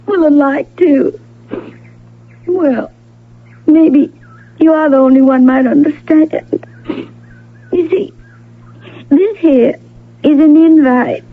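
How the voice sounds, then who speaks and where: An actress playing a wary, wistful young ranch woman in an old-time radio Western, plainspoken and husky, with a rustic Western American drawl. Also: high-pitched, slow, pauses often. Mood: tearful. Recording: phone-like old radio broadcast, faint music.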